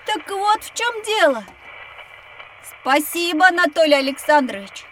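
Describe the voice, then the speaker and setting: Very high-voiced cheeky schoolboy. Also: female voice, very animated, measured pace, fairly bright tone, slight background noise, heavily acted, low-quality recording. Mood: curious, satisfied. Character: inquisitive, matter-of-fact, cheeky young schoolboy. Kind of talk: children's educational audio drama acting.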